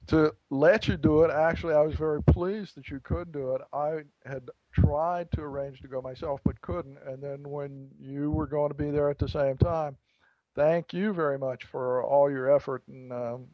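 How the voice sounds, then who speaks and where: A man, an author, being interviewed, telling an anecdote in a reflective way with pauses and restarts. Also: mid-range voice, no echo, highs cut off. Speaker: author guest. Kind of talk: interview storytelling.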